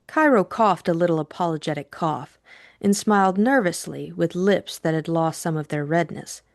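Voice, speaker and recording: Lady narrating an audiobook, lowish voice, super dry sound. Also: normal speed, vocal fry, dry recording. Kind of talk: scripted introduction and novel narration.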